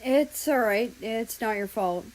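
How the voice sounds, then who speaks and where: A woman's voice: on the high side, measured pace, darkish tone, lightly acted. Woman playing shy, hesitant young man. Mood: shy, tentative. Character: shy, forgiving young American man in love.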